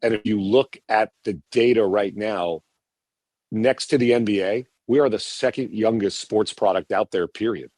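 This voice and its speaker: Low-voiced man talking shop, full of restarts. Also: moderate pace, a little mellow, no echo. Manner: rambling with many restarts and repeated words. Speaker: TV producer guest. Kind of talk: podcast interview answers.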